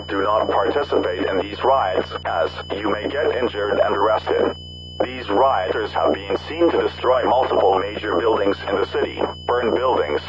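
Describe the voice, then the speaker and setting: A man playing a serious radio announcer, reading scripted news and emergency warnings in a formal, measured way. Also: lowish voice, natural voice. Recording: muffled radio with clear humming noise.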